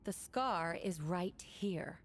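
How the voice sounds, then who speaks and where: A woman's voice: lowish voice, animated, takes it slowly, slight background noise. A woman playing a stern, suspicious interrogator in a video game, probing with pointed, cool accusations. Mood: stern, accusing. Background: game music.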